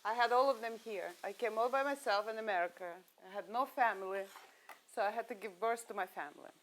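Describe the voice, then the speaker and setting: A woman's voice: fairly high pitch, fast, a bit bright, a little hiss or hum, low-quality recording. A middle-aged woman from Russia, a guest talking through each step as she cooks on a TV show and laughing easily. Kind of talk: cooking demonstration chat.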